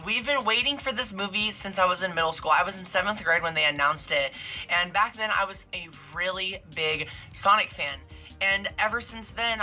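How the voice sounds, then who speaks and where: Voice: medium pitch, pushed and bright. A young adult giving a casual, gushing movie review in a vlog.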